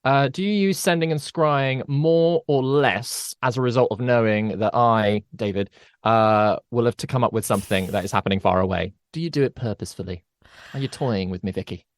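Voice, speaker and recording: A man co-hosts a podcast as its game master, talking in a casual, conversational way. His voice is medium in pitch, and the recording is dry with no room sound. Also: normal speed, clear, resonant tone, dry recording.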